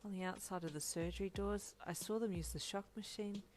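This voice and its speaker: A woman voicing a tender, shaken man in a story, speaking softly in a small, wobbly voice. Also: medium pitch, steady tone, brisk, slight background noise, voice pushed up, lightly acted. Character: shaken, tender man fearing for his partner.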